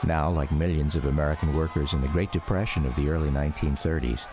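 A man's voice: extremely low pitch, steady tone, quick pace, very noisy. A man giving scripted memoir narration for a radio documentary, reflective and storytelling.

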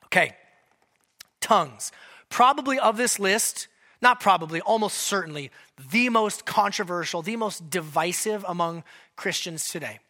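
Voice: medium pitch, lively intonation, normal speed, pushed and bright. A pastor giving a sermon in a conversational way, breaking it up with joking asides.

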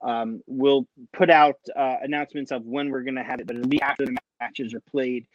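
A man in his thirties, a podcast guest, chatting in an animated way with stammering restarts. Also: medium pitch, fairly quick, dry recording, dull audio. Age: 30s. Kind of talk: casual podcast chat.

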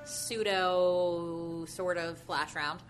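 A woman's voice: fairly low pitch, steady tone, extremely slow, over loud music. A woman hosting a podcast, talking in a playful, easygoing way. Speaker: podcast host. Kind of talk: casual chat.

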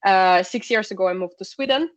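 A woman's voice: mid-range voice, brisk. A woman from Russia, a podcast guest introducing her product work in casual chat with frequent fillers. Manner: speaks with frequent fillers, slightly non-native grammar. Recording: dull lo-fi call audio.

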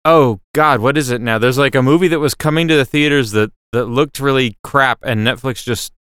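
A man talks casually as a podcast co-host. His voice is bright and exceptionally smooth and clean, at an ordinary middle pitch. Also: fairly quick. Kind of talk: casual chat.

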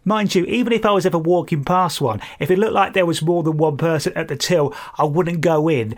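A man's voice: a little high, a little fast. A British man presenting a music radio show in a chatty, jokey, self-deprecating way. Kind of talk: music radio links with light anecdotes.

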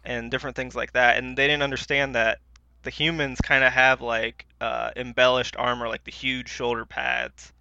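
A man's voice: mid-range voice, little pitch movement, normal speed, gravelly, bright, forceful tone, highs cut off, slight background noise. A man co-hosting a podcast, chatting casually in a hesitant, rambling way. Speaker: podcast co-host. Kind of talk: casual chat about films.

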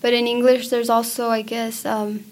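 Soft-spoken young woman, high voice. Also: little pitch movement, normal speed. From New Mexico, US. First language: Spanish. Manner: soft-spoken, hesitant, many ums. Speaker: guest singer-songwriter. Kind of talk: casual interview answers and singing with ukulele. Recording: podcast audio with ukulele backing.

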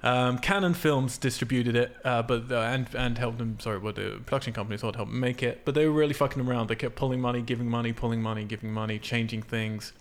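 A man's voice: mid-range voice, fairly even intonation, quick pace, few pauses. A man hosting a casual film podcast, explaining things in an informal, sweary way. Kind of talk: casual film discussion from notes.

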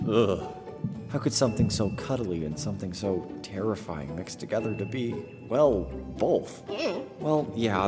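An actor playing a young male wizard apprentice, exasperated and sarcastic, in a fantasy audio drama. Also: fairly low pitch. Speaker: Udo. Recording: long reverb with music behind.

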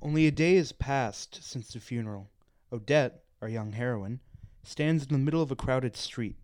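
A man's voice: mid-range voice, darkish tone, no echo, clean studio sound. A man reading scripted narration as the narrator of a radio play.

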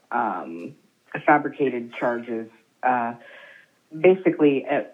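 Slow, halting woman on a phone line. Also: rumbling low. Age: 30s. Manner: halting, many fillers and restarts. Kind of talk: telling her family's story over the phone.

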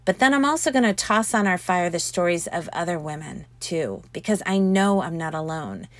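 A woman narrating her own motivational audiobook as its author, speaking earnestly with emphatic, deliberate phrasing. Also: lowish voice, animated, no echo. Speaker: author reading own book.

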